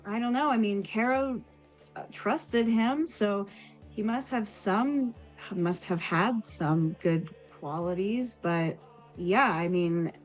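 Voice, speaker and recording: A woman's voice: medium pitch, darkish tone, lightly acted, poor audio. A woman playing a caring, thoughtful village woman in a tabletop fantasy game, speaking hesitantly.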